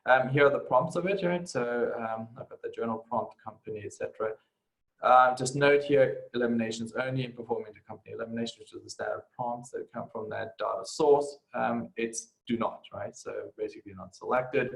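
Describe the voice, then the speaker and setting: A man talking through an informal screen-share software tutorial, halting as he goes and often adding short tag questions. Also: mid-range voice, gravelly, poor audio. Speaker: software instructor.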